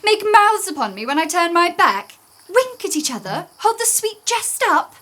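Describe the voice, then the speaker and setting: A woman's voice: very high voice, big pitch swings, moderate pace, sharp tone, heavily acted. An actress playing a wounded, indignant young woman in a theatrical Shakespearean radio play, with declamatory, dramatic delivery. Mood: bitter, hurt. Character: Helena, wounded indignant young woman, easily frightened lover.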